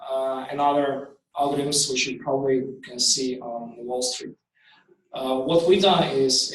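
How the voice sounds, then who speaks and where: A man in his thirties from Ukraine, with a Ukrainian accent, giving a startup pitch in halting English full of ums and likes. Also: slight background noise. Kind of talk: live pitch presentation. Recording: very echoey hall, distant from the mic.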